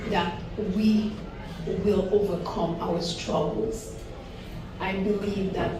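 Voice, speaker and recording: Female activist panelist, Haitian accent, non-native English, unhurried, recorded from far off with clear background noise. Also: medium pitch. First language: not English. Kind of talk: public speech at a forum. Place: large echoey indoor event room. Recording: very echoey room, distant, noisy.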